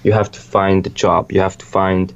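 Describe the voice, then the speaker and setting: A young man from Switzerland talks through his past in a loose, rambling way, restarting sentences and swearing casually. His voice sits on the low side. The sound is dull and lo-fi. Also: completely flat delivery, normal speed, creaky. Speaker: music producer, guest. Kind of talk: casual interview chat.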